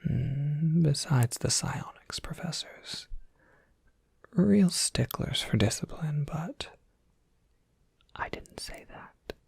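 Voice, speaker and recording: A kindly monster academy professor played by an ASMR performer speaks in a very breathy whisper with a gravelly crackle. The delivery is slow and gently wry, helpful like an academic advisor. It is recorded close and dry. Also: mid-range voice, animated, no echo, full character voice. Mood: gentle, helpful. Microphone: close microphone, dry.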